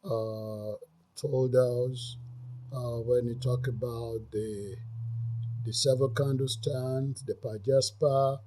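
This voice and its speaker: A man's voice: mid-range voice, fairly even intonation, slow, mellow, a little hiss or hum. A man guest explaining church customs, earnest and hesitant with frequent restarts. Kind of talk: casual explanatory talk.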